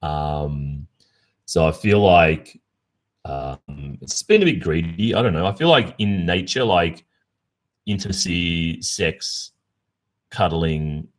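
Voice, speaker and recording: A man's voice: low-pitched, lively intonation, takes it slowly. An Australian man hosting a casual livestream Q&A, chatting informally and reflectively. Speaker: ASMR roleplay creator and livestream host.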